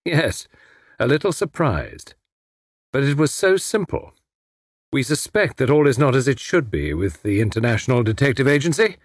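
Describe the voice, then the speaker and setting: Storybook villain, male reader in role: educated foreign spymaster, smug, courteous, menacing, husky with swooping pitch. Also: very animated, normal speed, dry recording, studio quality. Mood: smug, gloating. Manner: mockingly polite, formal, snarling when crossed. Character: suave, menacing well-educated foreign spy posing as inspector.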